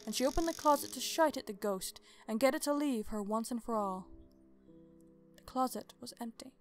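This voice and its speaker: A woman narrating a story as host, in an expressive, measured, dramatic storybook style. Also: fairly high pitch, faint music behind.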